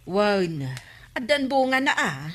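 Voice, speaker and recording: A woman playing a sharp-tongued, scolding village woman in an Ilocano comedy radio drama, argumentative and exasperated, with an Ilocano accent. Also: fairly high pitch, big pitch swings, slow pace, heavily acted. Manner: nagging, argumentative.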